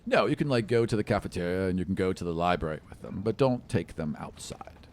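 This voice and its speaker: A man's voice: sits low, lively intonation, fast. A man improvising narration as game master of a tabletop fantasy game, descriptive and conversational, often searching for words.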